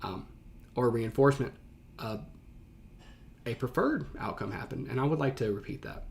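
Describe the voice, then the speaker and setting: A man's voice: mid-range voice, lively intonation, normal speed, gravelly, a loud crowd. A man with a mid-range voice reacts and explains in the way of a teacher. He talks casually and conversationally, leaning on key words for emphasis.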